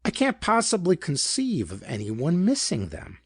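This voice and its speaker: A fussy, pedantic gentleman sleuth played by a male narrator from a pulp audiobook. He speaks in formal, precise phrases with swooping pitch and a creaky edge, eager and pompous. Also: huge pitch swings, moderate pace, no room sound, clean studio sound, full character voice. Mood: inquiring.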